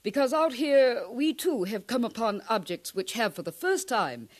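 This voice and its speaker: A learned scientist and dean in a 1950s radio play. She lectures with confidence in precise, formal phrases, her pitch swinging in a lively way. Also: moderate pace. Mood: confident, lecturing. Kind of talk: 1950s radio drama acting.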